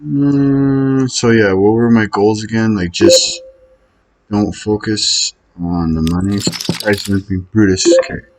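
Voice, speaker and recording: A man with a low-pitched voice talks slowly as he thinks aloud over an online card game. His delivery is casual and hesitant, muttered half to himself, and he often questions his own choices. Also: fairly even intonation, low-quality recording. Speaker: online poker player recording own session.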